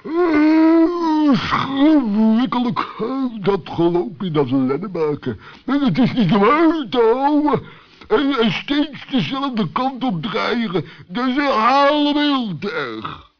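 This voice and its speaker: A man's voice: very high-pitched, very animated, slow, voice pushed up, heavily acted. A man voicing a sleepy, dim, fat male animal in a children's audio story, muddled and bumbling as he stumbles over words. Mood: worn out, whiny. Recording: dull old lo-fi recording.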